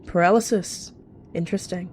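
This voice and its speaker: A woman's voice: on the low side, steady tone, normal speed, darkish tone, a little hiss or hum, lightly acted. A woman voicing a scheming evil rogue cat with a casual, falsely friendly and detached way of talking. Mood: cold, low.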